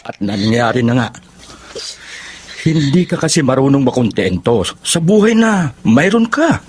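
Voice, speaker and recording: Earnest, mid-pitched drama character. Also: male voice, very animated, measured pace, darkish tone, a little hiss or hum. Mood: grave, advising. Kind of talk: Filipino radio drama acting.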